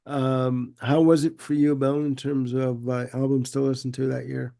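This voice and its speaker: A man's voice: medium pitch, little pitch movement, moderate pace, creaky, mellow. A man with a head cold hosting a casual music chat, sounding congested, laid-back and given to repeating himself. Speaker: podcast host.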